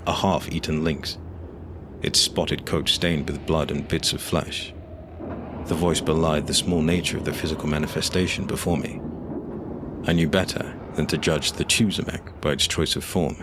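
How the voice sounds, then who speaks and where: Man, extremely low voice, solemn measured fantasy narrator, faint noise and ambience. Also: steady tone. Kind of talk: scripted fantasy audio drama narration.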